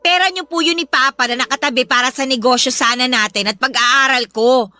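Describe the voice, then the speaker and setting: A woman's voice: high voice, fairly quick, sharp tone, soft background music. A woman playing a put-upon young Filipino daughter in a melodramatic audio drama, complaining and defending herself in a politely reproachful way, with a Filipino accent. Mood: hurt, indignant. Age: young adult.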